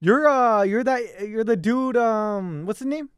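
A man's voice: high voice, lively intonation, unhurried, clean tone, no room sound, clean studio sound. A man hosting a comedy podcast in casual banter, teasing and coaxing his co-host.

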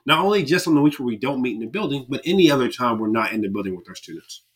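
Brief: a man, mid-pitched, stuffy, energetic podcast host. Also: very fast, husky. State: stuffy nose. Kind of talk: casual podcast chat.

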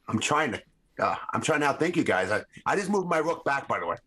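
A man's voice: mid-range voice, very animated, moderate pace, a bit bright. A man co-hosting a podcast in casual chat, joking and teasing his friends in a playful way. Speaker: podcast co-host.

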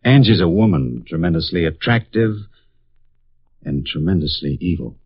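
A brooding cynical professor. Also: male voice, sits low, animated, moderate pace, husky, no echo, exaggerated voice. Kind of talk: 1950s radio drama acting. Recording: dull lo-fi 1950s radio broadcast.

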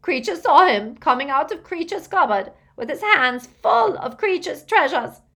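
A woman voicing an aged, servile male house-elf for a storybook, croaking, muttering and gasping in bitter anguish. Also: sits high, animated, moderate pace, a bit bright, pitched above the performer's own voice. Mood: sobbing. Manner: muttering, gasping, speaks of self in third person.

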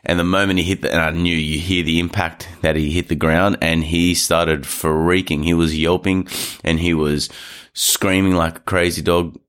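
A man's voice: low-pitched, little pitch movement, normal speed, a bit bright, clean tone. A man with a nasal, stuffy voice from hay fever, talking casually about dog safety as a podcast host, rambling through a story with restarts. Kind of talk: casual advice talk. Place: studio.

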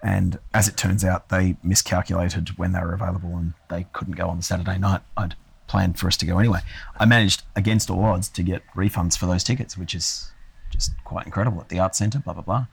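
A man with a croaky, hoarse voice from a cold, chatting casually with dry, wry humor. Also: low voice, fairly even intonation, a little fast, no room sound. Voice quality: croaky, gravelly voice. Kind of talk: casual chat. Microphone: held too far from the mic.

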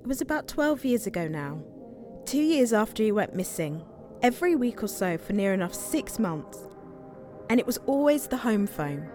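An actress playing an earnest, searching sister in a naturalistic audio drama. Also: female voice, medium pitch, big pitch swings, normal speed, darkish tone, faint music behind, close to an everyday voice. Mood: wistful.

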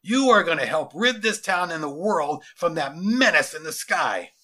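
A narrator playing a self-righteous military commander in a dramatized storybook. The delivery is tense and forceful, with lively pitch. He talks down to everyone with menacing authority. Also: normal speed. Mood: gloating.

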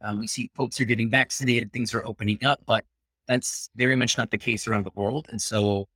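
A man's voice: on the low side, steady tone, brisk, sharp tone, husky, no echo. A man in his thirties, born in Peru, talking earnestly as a guest in a casual interview and sometimes slipping into Spanish. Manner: reflective and earnest, slips into Spanish.

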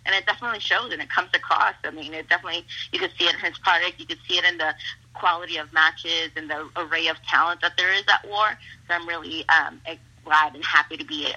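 Spanish-native lady wrestler, super bright kinda raspy voice, gushy and excited, says like a lot. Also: fairly low pitch, highs cut off. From Mexico. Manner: upbeat, gushing, heavy use of "like" and "awesome". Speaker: professional wrestler. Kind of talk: casual interview.

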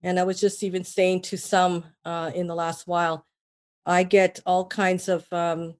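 A woman's voice: fairly low pitch, a little mellow. A woman raised in Vancouver, a mortgage broker as a podcast guest, talking in a rambling way with many restarts and fillers. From Trinidad, raised in Vancouver, Canada. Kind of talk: casual interview talk.

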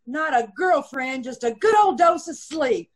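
A woman's voice: sits high, lively intonation, a little slow. A woman playing a brash, sassy female hitchhiker in a radio drama, with a dismissive manner. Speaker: actress in an old-time radio troupe. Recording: amateur video call recording.

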